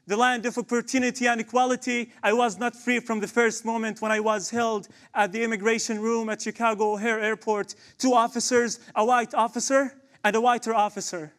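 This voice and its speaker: A young man delivers spoken word poetry with fierce conviction. His voice sits notably high for a man, and he builds each section toward a repeated rhetorical refrain. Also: level tone, fairly quick, few pauses, fairly bright tone. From Palestine, West Bank.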